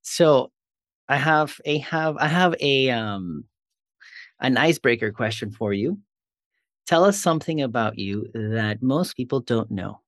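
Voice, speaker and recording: A man's voice: medium pitch, lively intonation, measured pace, a bit bright, dry recording, clean studio sound. Upbeat male community podcast host. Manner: upbeat, brief acknowledgments. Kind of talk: community interview show.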